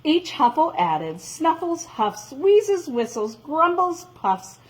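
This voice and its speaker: Slow, animated woman reading a picture book. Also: sits high, low-quality recording. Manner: dramatic, expressive, with drawn-out emphasis. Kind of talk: animated read-aloud of a children's story.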